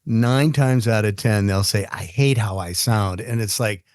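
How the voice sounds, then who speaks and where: A man's voice: fairly low pitch, no echo, clean studio sound. A man hosting a podcast, chatty and upbeat, talking about voice and recording. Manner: upbeat, chatty, spells out names slowly. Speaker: podcast host. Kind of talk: casual podcast interview. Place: recording studio.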